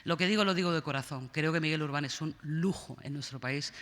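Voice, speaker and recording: A woman's voice: deep voice, brisk, a bit bright, in a large hall. A woman from Spain with a Peninsular Spanish accent, moderating a panel and introducing the speakers with emphatic praise and frequent fillers.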